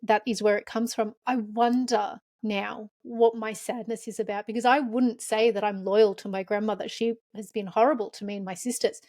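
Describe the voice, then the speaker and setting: A woman's voice: medium pitch, fairly even intonation, normal speed, few pauses, a little mellow, no room sound, studio quality. A woman from Lebanon hosting a podcast, talking openly and reflectively in casual chat. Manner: open, emotional, reflective. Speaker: podcast host.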